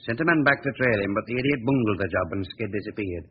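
An actor playing a blunt, dour middle-aged policeman in a 1940s radio drama, plain-spoken and gruff, on an old recording. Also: on the low side, expressive, fast talker. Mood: dry, grumbling. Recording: dull, noisy 1940 radio recording.

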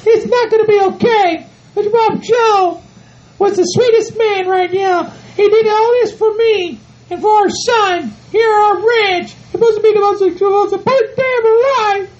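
A woman's voice: very high-pitched, moderate pace, darkish tone. A woman voicing a frantic ranch wife who wails and pleads in panic. The recording sounds dull and lo-fi. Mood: distraught. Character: frantic ranch wife, fussing over husband. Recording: dull lo-fi audio.

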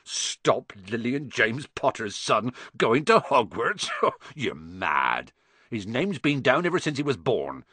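A husky-voiced man voicing a huge, gruff but kindly bearded giant with rough folksy speech. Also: sing-song, takes it slowly, sharp tone, dry recording, full character voice. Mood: scornful. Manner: rough folksy speech, booming when angry.

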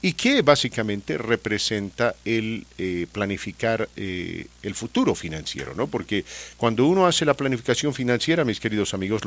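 A man hosting a radio talk show, chatting casually and often pausing with fillers and restarts. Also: medium pitch, fairly bright tone. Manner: hesitant, many fillers and restarts.

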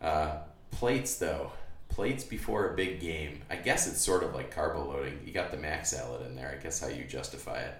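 A man's voice: a bass voice, normal speed. An American man co-hosting a podcast in casual banter, recorded at some distance from the mic in a roomy space. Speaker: podcast co-host.

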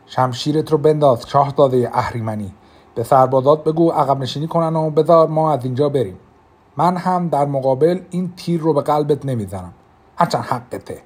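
A man's voice: mid-range voice, big pitch swings, dark tone, slightly rough. A man voicing a defiant young woman archer who speaks fiercely and commandingly, in an audiobook. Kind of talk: audiobook character reading.

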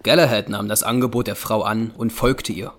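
A man narrating a dark Victorian adventure as the measured storyteller of a German audio drama. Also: on the low side, steady tone, lightly acted.

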